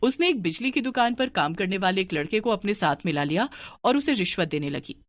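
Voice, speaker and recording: A woman giving steady, scripted narration for a cartoon moral story. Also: medium pitch, a little fast, no echo.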